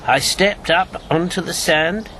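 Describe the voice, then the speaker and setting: An actor playing a bitter, haunted older former secret agent in a radio drama, wry and brooding. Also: male voice, a little high, unhurried, a crowd in the background, lightly acted. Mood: haunted, reflective.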